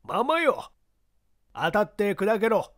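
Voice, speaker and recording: A reader voicing a brash, impatient newspaperman in an audiobook mystery, with blunt, rough colloquial speech. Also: medium pitch, big pitch swings, slow, fairly bright tone, pitched above the performer's own voice. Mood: reckless. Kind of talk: audiobook character acting.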